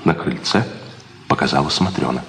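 A man's voice: rumbling low, moderate pace, a bit bright. A man narrating scripted stage directions in a Soviet radio play.

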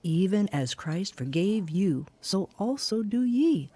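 A kind older lady giving gentle advice in a radio drama, soft mellow tone, lilting up and down. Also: mid-range voice, expressive, slow, husky, dry recording. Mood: gentle, encouraging. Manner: gentle, nurturing, counselling. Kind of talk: audio drama dialogue.

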